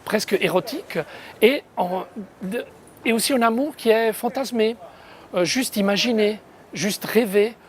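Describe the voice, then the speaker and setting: Soft-spoken woman. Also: on the high side, measured pace, a little hiss or hum. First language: Italian. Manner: thoughtful, searching for words, soft hesitations. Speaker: poet. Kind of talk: interview, reflective explanation.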